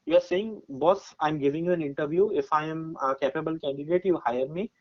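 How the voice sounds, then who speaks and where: Male, twenties, Indian accent. Fairly high-pitched, emphatic podcast guest talking through advice with personal examples; dull recording. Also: a little fast. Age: 20s. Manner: emphatic, explanatory, often checking with "right?". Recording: dull, muffled lo-fi audio.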